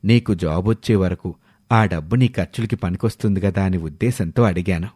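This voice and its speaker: A man voicing a kindly elderly man in a moral story, gentle and measured. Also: deep voice, husky, no room sound, studio quality, voice pushed down.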